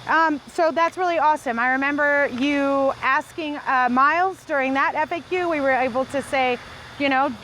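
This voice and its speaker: A woman's voice: high-pitched, normal speed, a bit bright, rough recording. Cheerful woman host from new zealand, chatty, reads viewer questions, faint noise behind. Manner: upbeat, chatty, enthusiastic. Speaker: farm owner and channel host. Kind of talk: casual Q&A, reading viewer comments.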